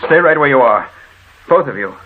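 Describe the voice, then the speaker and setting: An actor playing a brooding, irritable husband turning menacing in an old radio horror drama, curt and commanding. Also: male voice, mid-range voice, animated, brisk, slight background noise, natural voice. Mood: cold, menacing. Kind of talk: vintage radio horror acting. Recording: muffled, phone-like old radio recording.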